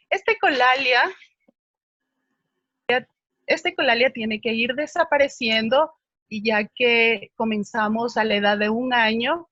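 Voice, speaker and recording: A woman's voice: fairly high pitch, bright, forceful tone, no room sound, dull audio. A woman speech therapist answering viewer questions, explaining patiently with frequent hesitations.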